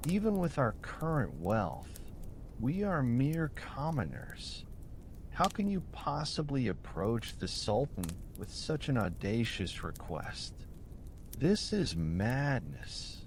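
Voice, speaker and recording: A man reading as pleading, anxious mother, slow. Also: medium pitch, sing-song, slight background noise. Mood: pleading, worried. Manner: pleading, cautious, emphatic storybook delivery. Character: anxious, humble widowed mother pleading with son.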